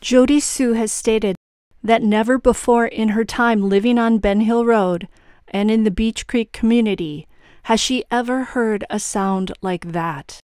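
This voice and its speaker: A woman's voice: fairly high pitch, fairly even intonation, a little slow, darkish tone, clean tone, no room sound. Woman commentator, dry close sound. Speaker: true-crime commentator. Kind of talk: scripted commentary narration.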